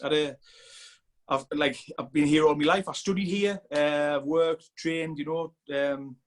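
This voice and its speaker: A man's voice: a little high, steady tone, unhurried. A man in his forties with a Sunderland accent, chatting casually as a podcast guest, rambling with many restarts. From Sunderland, England. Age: 40s. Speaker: strength coach guest.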